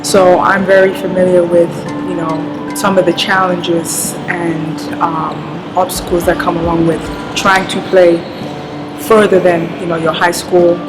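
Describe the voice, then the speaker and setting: A woman from London, a basketball coach and team director, speaking in a measured, earnest interview and often saying "you know". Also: lowish voice, little pitch movement, poor audio. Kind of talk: interview talk to camera. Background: music.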